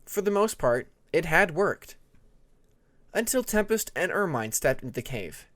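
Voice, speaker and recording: A man narrating fiction dramatically as an audiobook reader, with deliberate, measured phrasing. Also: a little high, sing-song, a bit bright, no echo.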